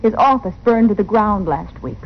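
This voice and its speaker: An actress playing an earnest, anxious frontier wife in an old radio western. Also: female voice, medium pitch, moderate pace, natural voice. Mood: grave. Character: worried, earnest frontier wife pleading for help. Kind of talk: 1950s radio western acting. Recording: muffled, hissy old radio recording.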